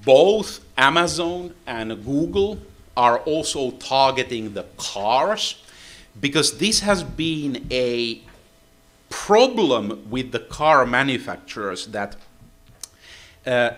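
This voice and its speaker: A man from Finland, a native Finnish speaker with a Finnish accent, giving a technical seminar lecture in English with frequent "uh, uh" pauses. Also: mid-range voice, sing-song, a bit bright. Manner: halting, many "uh, uh" fillers.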